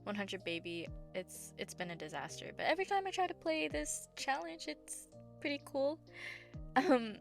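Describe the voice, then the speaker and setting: Hoarse, gravelly woman. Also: high voice, lively intonation, moderate pace. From Southern United States. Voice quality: scratchy, gravelly voice. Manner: rambling, filler-heavy, self-correcting. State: hoarse after bronchitis. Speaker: game builder and channel host. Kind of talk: casual voice-over commentary over gameplay. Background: background music.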